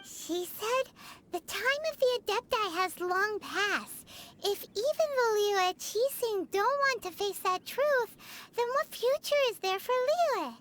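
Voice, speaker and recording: A woman voicing a small, excitable, chatty fairy companion in a video game, with a squeaky childlike voice. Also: slow pace, slight background noise, heavily acted. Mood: earnest, inquisitive. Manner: speaks of self in third person, exclamatory. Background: game music.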